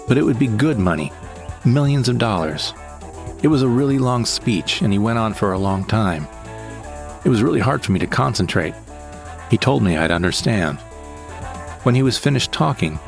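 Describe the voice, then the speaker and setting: A man's voice: medium pitch, close to an everyday voice. A man playing a frightened, bitter victim who recounts his ordeal in plain, short sentences for an audio drama monologue. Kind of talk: first-person scripted audio drama monologue.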